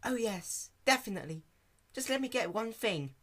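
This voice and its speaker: A gentle, timid female pony from a cartoon story, put on by a man. The voice is lifted high and kept soft, with a polite, hesitant delivery. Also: animated, normal speed, exaggerated voice. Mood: gentle, eager.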